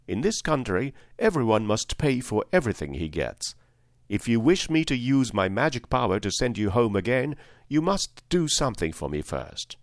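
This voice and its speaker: A man's voice: mid-range voice, very animated, normal speed, no room sound, clean studio sound. Man voicing Oz, a stern, emphatic giant head. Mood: stern, bargaining. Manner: commanding, emphatic. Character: stern, imperious giant talking head of a great wizard.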